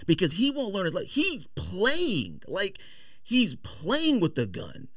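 A man's voice: high-pitched, huge pitch swings, slow pace, pushed and bright, dry recording, clean studio sound. A man co-hosting a sports podcast, debating casually and emphatically, often repeating words for stress. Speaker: sports and sneaker podcast co-host. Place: podcast studio.

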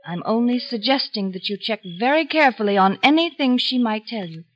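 An actress playing a genteel, guarded small-town heiress in a 1950s radio drama, speaking in a hesitant, confiding way. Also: female voice, on the high side, expressive, brisk. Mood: suspicious. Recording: dull old radio recording.

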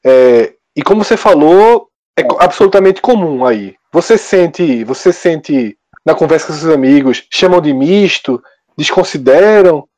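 A man's voice: on the high side, animated, a little slow, a little mellow. A man hosting a podcast, putting probing follow-up questions to a guest. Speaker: podcast host. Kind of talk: interviewing, asking questions.

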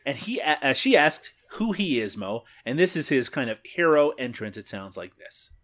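Canadian male podcast co-host, animated delivery, laughs easily, casual recap. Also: mid-range voice, moderate pace. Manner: laughs often, recaps scenes. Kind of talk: casual movie review chat.

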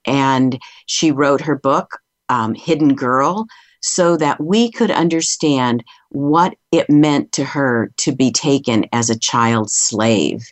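A show co-host calmly introducing a guest in a measured way. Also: medium pitch, no echo. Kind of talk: scripted-sounding introduction.

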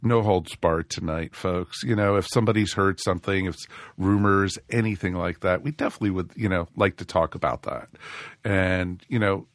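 A man's voice: sits low, moderate pace, dry recording, clean studio sound. A man hosting a casual live podcast, a little scratchy from a cold, rambling with lots of fillers. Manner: rambling, many fillers and restarts. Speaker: podcast host.

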